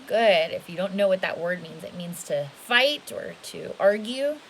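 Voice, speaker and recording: A woman's voice: medium pitch, expressive, a little slow, rough recording. A woman reading a picture book aloud to children, instructive and often checking in with questions. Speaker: read-aloud storyteller. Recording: home recording with faint hiss.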